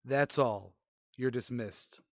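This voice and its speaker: A man's voice: medium pitch, slow, very noisy. Man acting a stern, commanding police chief. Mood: stern, fed up. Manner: blunt, clipped, authoritative. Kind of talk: 1950s police radio drama acting.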